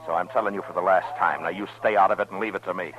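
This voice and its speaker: A man acting as a stern American lawman of the Old West, very deep in voice. He speaks in blunt, laconic orders with steady authority. The sound is a dulled vintage radio western recording. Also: rapid-fire, highs cut off, lightly acted. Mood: commanding, urgent. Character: stern, steady frontier US marshal of Dodge City.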